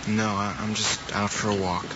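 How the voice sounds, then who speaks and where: A man's voice: on the low side, little pitch movement, normal speed, pushed and bright, lo-fi sound. An actor with an American accent playing a troubled, guilt-ridden teenage boy, earnest and hesitant, in a hissy old television drama. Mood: guarded. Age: young adult. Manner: earnest, hesitant, clipped replies. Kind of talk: mid-century American television drama acting.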